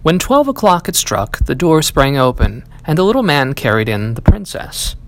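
Man reading fairy tales, very animated pitch. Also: moderate pace. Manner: storybook delivery, acting out dialogue. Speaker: audiobook reader, library staff. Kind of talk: expressive scripted fairy-tale narration.

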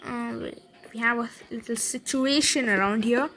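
Woman, high bright voice, casual tutorial narrator, chatty with fillers, faint hiss behind. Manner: chatty, fillers, quick laughs, thinks aloud while building. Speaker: Minecraft building tutorial creator.

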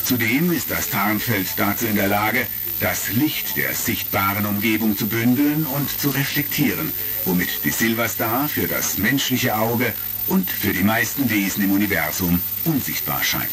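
Low-voiced male drama narrator over music. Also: lively intonation, normal speed. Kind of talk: scripted audio drama narration.

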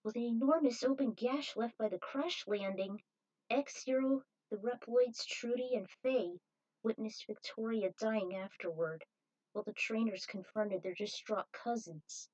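A woman's voice: on the high side, unhurried, darkish tone, lo-fi sound. A woman reading fan fiction aloud as an amateur narrator. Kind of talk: amateur story narration with acted dialogue.

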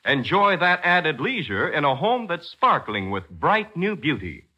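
A man's voice: a little high, very animated, a little slow, sharp tone, husky. An American man announcing in scripted 1940s radio style, with a dramatic, emphatic and polished delivery. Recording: 1940s radio broadcast with music.

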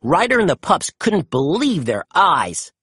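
A narrator reading a children's story aloud in an expressive storytelling manner. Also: medium pitch, measured pace, husky, no room sound, clean studio sound.

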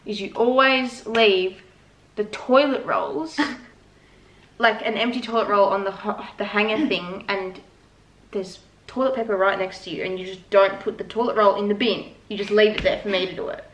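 A woman of twenty-one, chatting casually in a relaxed, rambling way while co-hosting a Q&A vlog from her living room couch. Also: mid-range voice, normal speed. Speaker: vlogger co-host. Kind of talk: casual Q&A chat. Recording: echoey room.

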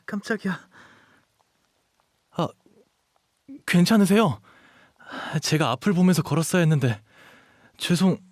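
A man's voice: on the high side, breathy edge, no room sound, exaggerated voice. A man acting as an eager, cutesy, pleading twenty-year-old dog shapeshifter in an audio drama, with a boyish manner. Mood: flustered. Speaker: voice actor.

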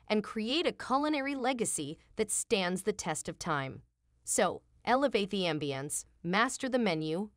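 A woman's voice: mid-range voice, dry recording, studio quality. A woman giving a scripted promotional voice-over with a polished, upbeat delivery. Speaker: narrator.

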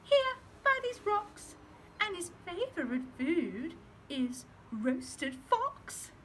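A woman voicing a small, clever, cheeky mouse in a storybook reading, high and perky. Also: big pitch swings, extremely slow, heavily acted. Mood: perky, boastful. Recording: loud background noise.

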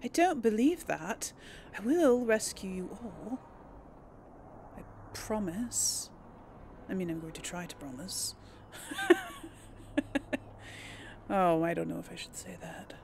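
Slow, creaky-voiced woman playing a game. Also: mid-range voice, lively intonation, darkish tone, slight background noise. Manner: informal, chuckling, musing aloud. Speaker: gaming channel host. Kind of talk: casual role-play game commentary.

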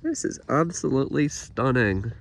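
A man's voice: medium pitch, expressive, moderate pace, creaky, a little hiss or hum. A man in his thirties casually narrating a travel vlog, enthusiastic and marveling at the scenery, filmed on a handheld camera. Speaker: vlogger. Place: outdoors on hills and in a cafe. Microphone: handheld camera at arm's length.

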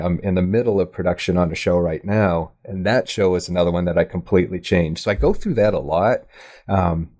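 Male podcast guest, low-pitched, thoughtful stop-and-start talker in a casual interview. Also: a little mellow. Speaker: podcast guest, audio drama writer and producer.

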